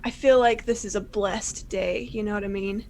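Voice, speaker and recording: A woman's voice: medium pitch, steady tone, normal speed, gravelly, darkish tone, a little hiss or hum, close to an everyday voice. A woman voicing a laid-back, nature-loving hippie man who talks in surfer slang.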